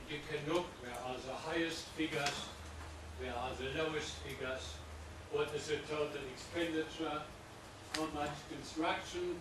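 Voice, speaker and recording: An older man with a German accent giving a methodical lecture in non-native English, repeating his points, with a loud voice. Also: on the high side. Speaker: professor. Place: large lecture hall. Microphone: room microphone, often moving away from it. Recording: echoey hall, distant mic, loud background noise.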